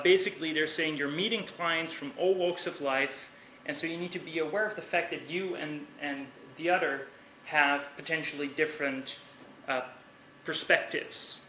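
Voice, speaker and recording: A man's voice: on the high side, pushed and bright, slight background noise. Dutch man lecturing in an echoey hall. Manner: thoughtful, measured, with hesitations and restarts. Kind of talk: academic lecture.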